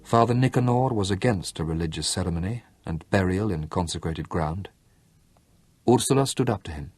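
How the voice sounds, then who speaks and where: A man reading a novel aloud as an audiobook narrator, measured and storytelling, with slow dramatic pauses. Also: sits low, animated, darkish tone, breathy edge, no echo. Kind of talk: scripted literary narration.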